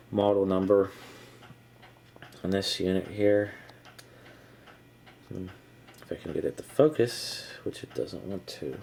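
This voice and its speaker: A middle-aged man giving a casual, unscripted show-and-tell in a halting, matter-of-fact way. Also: low voice, vocal fry, a little mellow, slight background noise. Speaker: vintage electronics hobbyist presenter.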